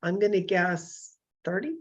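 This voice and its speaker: A hoarse woman in her fifties hosting a casual solo talk show, rambling and self-deprecating with many fillers and restarts. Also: fairly low pitch, lively intonation, a little slow, highs cut off. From California. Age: 50s. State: hoarse from illness.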